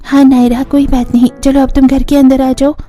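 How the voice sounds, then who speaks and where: A woman's voice: sits high, little pitch movement, a little fast, warm tone, faint music behind, close to an everyday voice. A woman playing a friendly, helpful young sister-in-law in a cartoon family story, welcoming and reassuring.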